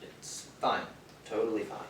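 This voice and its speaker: A man's voice: lowish voice, flat delivery, measured pace, fairly bright tone. Timid, self-doubting male writer, played by a man, hesitant delivery, stage play, distant noisy pickup. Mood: flustered, evasive. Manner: hesitant, stammering, apologetic. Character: timid, self-deprecating aspiring male scriptwriter, easily flustered. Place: theater stage, distant mic. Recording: echoey stage recorded from afar, noisy.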